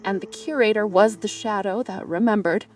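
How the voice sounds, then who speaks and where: A woman playing a traumatized, meticulous archivist, narrating a psychological horror drama in a brooding, deliberate way. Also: medium pitch, fairly even intonation, darkish tone, soft background music, lightly acted. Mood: haunted, bleak. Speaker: narrator and lead character of an audio drama.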